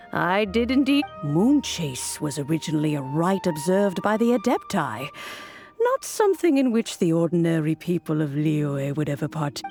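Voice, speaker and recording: A woman voicing a gracious, wise elderly female master in a video game, speaking in a measured, refined storytelling manner. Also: mid-range voice, a little mellow, heavily acted.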